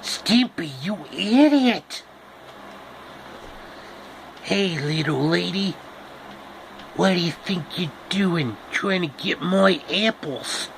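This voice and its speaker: A man voicing a grumpy talking apple tree in a menacing, drawn-out, scolding way for a homemade story. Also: sits high, expressive, vocal fry, a bit bright, slight background noise, pitched above the performer's own voice, full character voice, rough recording. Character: grumpy talking apple tree imitating a creepy classic-film actor.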